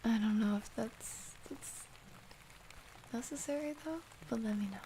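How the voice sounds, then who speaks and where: Slow, high, mellow-voiced woman doing soft ASMR. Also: a little hiss or hum, rough recording. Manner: gentle, drifting between soft voice and whispering. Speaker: ASMR livestreamer. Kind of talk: soft-spoken ASMR chat with viewers. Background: soft rain ambience.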